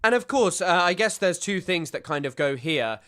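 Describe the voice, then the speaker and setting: A man's voice: high-pitched, expressive, moderate pace, bright, forceful tone, clean tone. A man giving sarcastic, rambling commentary while reacting to a clip, often restarting his sentences. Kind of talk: casual commentary.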